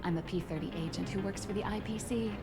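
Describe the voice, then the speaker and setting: A woman playing a gushing, overfamiliar young corporate agent in a video game, breezy and quick to apologise. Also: medium pitch, over music, lightly acted. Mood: breezy, cheerful.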